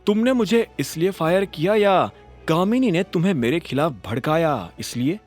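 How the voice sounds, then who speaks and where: A man plays a cocky young hero who talks back with cool sarcasm and calm defiance. His voice is fairly high and expressive. This is an audiobook character voice. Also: moderate pace, faint music behind. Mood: sarcastic. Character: cocky, defiant young male office worker.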